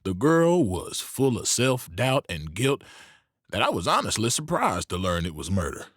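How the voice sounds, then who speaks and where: A man playing a shrewd, protective Old West saloon owner in an audio drama, measured and knowing. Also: fairly low pitch, huge pitch swings, fairly bright tone, no echo, studio quality, full character voice. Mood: wry.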